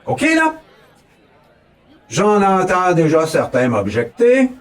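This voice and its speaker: A man's voice: high voice, huge pitch swings, takes it slowly, distant mic, some chatter behind. Québécois man giving a comic mock campaign speech. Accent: Québécois French. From Quebec. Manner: folksy, mock-solemn, keeps tossing in a rising "ok, là?". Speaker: satirical mock candidate. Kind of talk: comic political address.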